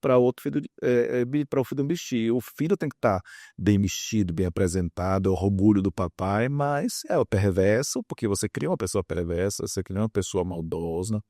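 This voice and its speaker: A man's voice: on the low side, lively intonation, a little fast, dark tone, no room sound, clean studio sound. A man, explaining his opinions in an interview with examples and repeated words for emphasis. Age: 50s. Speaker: guest psychologist and author.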